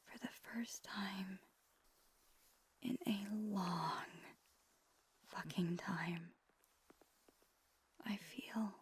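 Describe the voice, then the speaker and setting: Breathy, husky vampire girlfriend acted by a woman. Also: medium pitch, full character voice. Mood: tender, peaceful. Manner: slow, gentle, intimate. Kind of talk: ASMR roleplay, soft whispered pillow talk.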